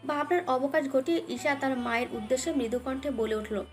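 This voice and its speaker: A woman's voice: sits high, brisk. A woman narrating a dramatic romance story as a scripted audio tale. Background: background music.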